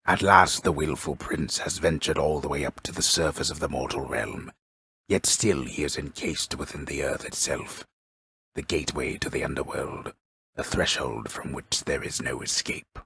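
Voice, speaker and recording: A male actor playing a grave, pompous storyteller in a video game, narrating a mythic epic in an ornate, deliberate, dryly wry manner with a gravelly voice. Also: extremely low pitch, fairly bright tone, dry recording, studio quality, exaggerated voice. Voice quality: gravelly, husky. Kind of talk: theatrical scripted narration.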